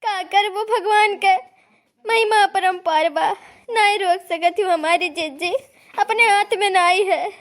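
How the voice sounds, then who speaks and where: A woman voicing a tearful village neighbour in a comedy cartoon, with an Awadhi accent and plaintive, drawn-out exclamations. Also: very high voice, fairly bright tone. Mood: consoling.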